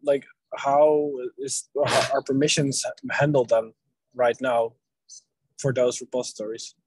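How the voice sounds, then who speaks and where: A man's voice: mid-range voice, flat delivery, measured pace, a little hiss or hum, rough recording. A man taking part in a casual technical discussion on an online group call. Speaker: meeting participant.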